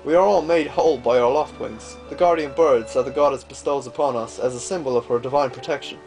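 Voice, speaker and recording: A man voicing a gentle, wistful older father from a fantasy game, telling stories in a fond, reflective way. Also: mid-range voice, brisk, natural voice. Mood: fond, nostalgic. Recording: game music behind.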